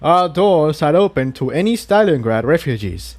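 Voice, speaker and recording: A man voicing an elderly unicorn high priest in a storybook, formal, courteous but guarded, with a strong, clear voice. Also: sing-song, moderate pace, no room sound. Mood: welcoming, smooth. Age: older. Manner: formal, authoritative. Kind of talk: storybook character voice.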